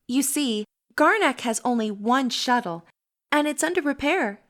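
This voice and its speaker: Female actor as composed refugee sister, high voice, courteous, reserved, gently chiding, audio drama. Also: expressive, measured pace. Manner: composed, polite.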